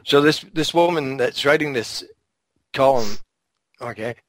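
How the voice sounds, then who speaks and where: A man's voice: medium pitch, unhurried, no echo. A man with a mid-range voice talks bluntly and provocatively, swearing as he goes. He co-hosts a casual podcast, and his voice sits dry and close with almost no room sound. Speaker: podcast co-host. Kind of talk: casual opinionated chat.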